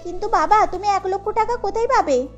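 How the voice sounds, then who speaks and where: Headstrong young bride-to-be, woman performer: pointed, girlish, extremely high voice, music behind. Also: fairly quick, highs cut off. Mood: concerned. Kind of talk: cartoon voice acting.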